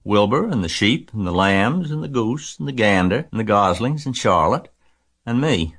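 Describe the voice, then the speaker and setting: A man's voice: lowish voice, lively intonation, normal speed, a bit bright, breathy edge, pitched above the performer's own voice, full character voice. Man reading as an earnest, chatty young girl. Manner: earnest, matter-of-fact.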